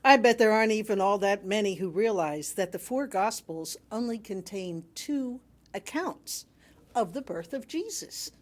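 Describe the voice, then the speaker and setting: A woman reading a sermon aloud to a congregation in a measured, emphatic way with wry humour. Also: medium pitch, expressive. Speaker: reader delivering another minister's sermon.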